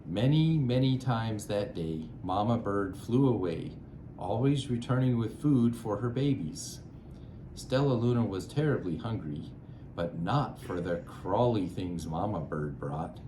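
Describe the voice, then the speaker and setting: A man narrating a children's storybook aloud in a measured, expressive way. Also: fairly low pitch, roomy sound, slight background noise.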